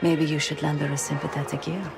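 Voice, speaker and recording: A woman's voice: flat delivery, fairly quick. A woman playing a composed, sly undercover operative in a video game, speaking in a cool, confiding, businesslike way. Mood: cool, conspiratorial. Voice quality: low, creaky. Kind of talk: video game cutscene acting. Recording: loud music behind.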